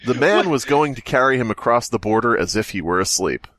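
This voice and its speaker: A man's voice: medium pitch, lively intonation, fairly quick, very noisy. A man co-hosting a comedy podcast, riffing casually in a joking, playfully theatrical manner. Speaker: podcast co-host.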